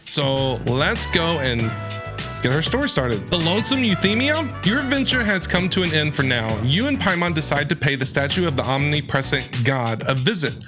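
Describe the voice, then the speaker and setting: A man's voice: on the high side, big pitch swings, normal speed. A man doing casual let's-play commentary, chattily talking back to game characters and repeating himself. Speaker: game streamer. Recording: game music behind voice. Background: video game music.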